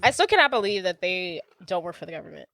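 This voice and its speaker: A woman's voice: lowish voice, sing-song, fast talker, creaky, a bit bright. A woman co-hosting a podcast, recapping a movie in an easygoing, teasing way. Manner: easygoing, teasing, laughs often. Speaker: podcast co-host. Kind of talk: casual movie recap chat.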